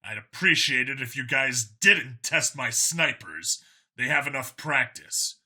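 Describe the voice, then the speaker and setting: A man playing a calm, commanding human cop in a science fiction audiobook, curt, dry and authoritative. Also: medium pitch, a little slow, slightly rough, exaggerated voice. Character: human male alien-world cop, calm, commanding, dryly confident. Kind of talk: audiobook character voice.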